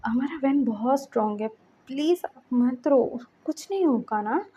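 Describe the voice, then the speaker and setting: A woman's voice: sits high, lively intonation, normal speed, dark tone, breathy edge, highs cut off. A woman voicing a caring, emotional younger brother in a story, pleading and repeating reassurances. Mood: soothing, pleading.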